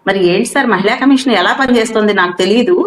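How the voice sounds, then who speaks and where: A woman's voice: mid-range voice, racing, reverberant. A woman giving her earnest opinion as a caller, deferential and pleading, often saying sir. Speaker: guest caller on a live show. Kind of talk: earnest opinion on a live call-in.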